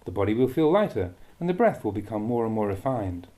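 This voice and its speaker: Mellow-voiced man reading a meditation teaching. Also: fairly low pitch, sing-song. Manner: measured, deliberate phrasing. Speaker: Buddhist monk reading a translated dhamma talk. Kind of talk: read-aloud meditation teaching.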